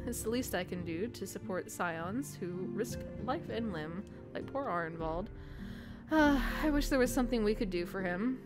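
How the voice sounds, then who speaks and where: A woman's voice: mid-range voice, lightly acted. A woman voicing a chatty, good-hearted helper of adventurers in a fantasy game. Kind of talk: reading game dialogue aloud in character. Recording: voice over loud game music.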